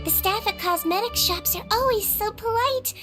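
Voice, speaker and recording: A woman voicing a small, gentle young girl nurse of a fairy-like species, polite and composed, in anime video game dialogue. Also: extremely high pitch, normal speed. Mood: calm, sincere. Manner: composed, courteous, matter-of-fact. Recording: music bed behind the voice.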